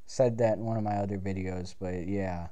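A man's voice: low-pitched, fairly even intonation, normal speed, dark tone. A young man with a stuffed-up nose from a cold, casually rambling through gameplay commentary in a small recording room, restarting sentences and swearing now and then. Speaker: gaming video host.

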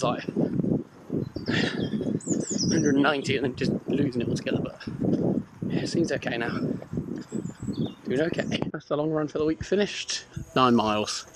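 A man giving casual asides to a handheld camera, his voice slightly croaky after exercise. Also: mid-range voice. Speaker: vlogger. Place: home room and outdoors. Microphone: handheld action camera. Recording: noisy action-camera sound.

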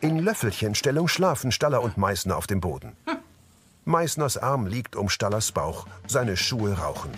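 A man's voice: lowish voice, big pitch swings, normal speed. A man giving concise, neutral scripted narration of on-screen action as an audio describer.

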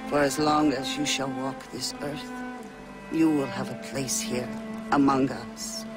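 An actor playing a calm, dignified old native elder in a video game, speaking slowly and solemnly. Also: male voice, medium pitch, loud music behind the voice, close to an everyday voice. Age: older. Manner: slow, solemn, measured.

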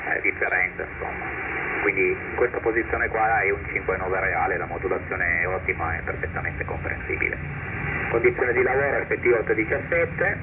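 A man's voice: deep voice, fairly quick. Noisy, phone-thin radio voice, man. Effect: SSB radio transmission. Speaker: portable amateur radio operator. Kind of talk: amateur radio contact, conversational. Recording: narrow-band, noisy shortwave-style radio speaker. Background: loud radio hiss and static.

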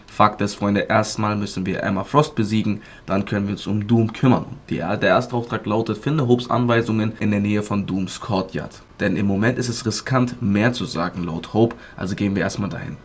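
A man's voice: lowish voice, fairly even intonation, brisk, few pauses, husky. A man doing walkthrough commentary as a gaming video creator, explaining things step by step to viewers. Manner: explanatory, addresses viewers as friends.

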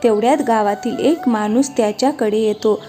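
High-voiced female story narrator. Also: moderate pace, dark tone. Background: light background music.

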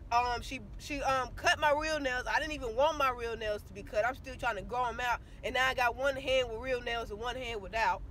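A young woman, ranting indignantly to her phone camera from inside a parked car. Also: sits high. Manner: indignant, animated complaining. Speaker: beauty vlogger. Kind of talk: casual vlog rant to camera. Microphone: phone camera at arm's length.

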